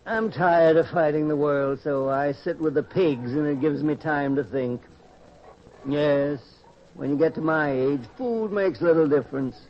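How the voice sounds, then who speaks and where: An actor playing a world-weary old pig tender, wry and folksy, in the style of an old-time radio drama. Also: male voice, a little high, unhurried, a little hiss or hum. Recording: dull, lo-fi radio-style recording.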